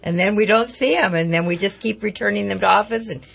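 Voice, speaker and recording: A woman co-hosting a local morning radio show, her voice raspy and hoarse from hay fever, speaking emphatically. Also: medium pitch, fast talker. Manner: emphatic, civic-minded remarks. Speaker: radio co-host.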